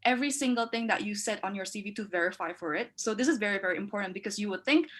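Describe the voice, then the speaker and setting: A young woman from Indonesia speaks in a bright, clear voice at an ordinary pitch. She gives casual panel advice in a chatty, easygoing way. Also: very fast. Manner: chatty, frequent "like" fillers.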